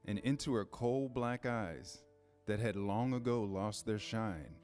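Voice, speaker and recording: Man narrating a storybook over soft music. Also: on the low side, unhurried.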